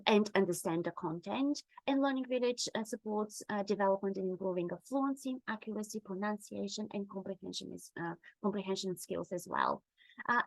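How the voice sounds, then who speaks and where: A woman's voice: mid-range voice, dry recording, poor audio. Bulgarian-native woman hosting a webinar. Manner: friendly, with frequent fillers. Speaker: webinar presenter. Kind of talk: online webinar talk.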